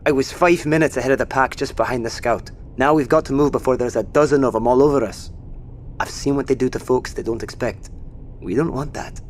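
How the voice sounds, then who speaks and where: A man's voice: a little fast, lightly acted. An actor playing a wary, commanding but courteous male survivor in a naturalistic audio drama, giving insistent orders. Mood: urgent, insistent. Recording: faint low rumbling background noise.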